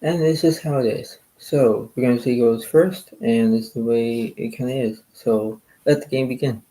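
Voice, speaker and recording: A soft-spoken man, a little hoarse, hosting a card game and rambling in repeated filler phrases. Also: medium pitch, fairly even intonation, moderate pace, poor audio. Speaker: channel host playing cards. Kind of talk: casual rambling talk to camera.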